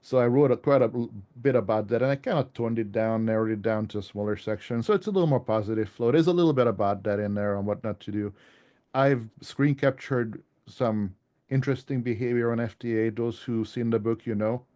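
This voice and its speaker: A man's voice: mid-range voice, a little fast, mellow. A man giving an informal slide presentation as an author, speaking in a conversational, self-deprecating way.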